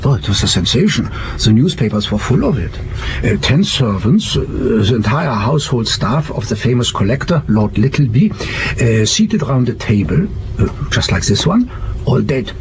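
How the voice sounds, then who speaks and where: A man's voice: medium pitch, big pitch swings, normal speed, lo-fi sound, background noise, close to an everyday voice. An actor playing a bookish, hesitant male archaeology professor who relishes gruesome detail in a period mystery audio drama. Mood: hesitant, intrigued. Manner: hesitant, donnish, pedantic.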